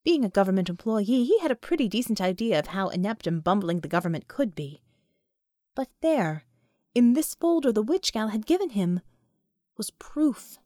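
A woman's voice: mid-range voice, big pitch swings, moderate pace, dark tone, dry recording, studio quality. A woman reading a story aloud as scripted audiobook narration.

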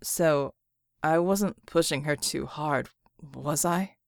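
A woman voicing a gruff but sheepish male superhero in an audiobook, gravelly, halting and casual. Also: deep voice, no echo, studio quality, voice pushed down, full character voice. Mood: unsure. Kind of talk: audiobook character dialogue.

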